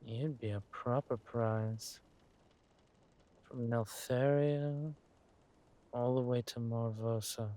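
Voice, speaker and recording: A man voicing a suave, menacing villain king who is doting with his lover and talks in a smooth, teasing, courteous drawl for a roleplay audio drama. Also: medium pitch, steady tone, takes it slowly, long pauses, dark tone, natural voice. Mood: silky menace.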